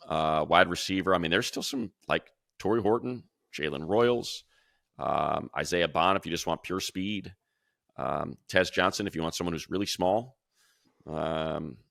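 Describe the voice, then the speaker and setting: A man's voice: low voice, creaky, fairly bright tone, dry recording, clean studio sound. A male radio sports announcer co-hosting a casual football podcast, chatty and rattling off long lists of player names. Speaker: radio play-by-play announcer, show co-host.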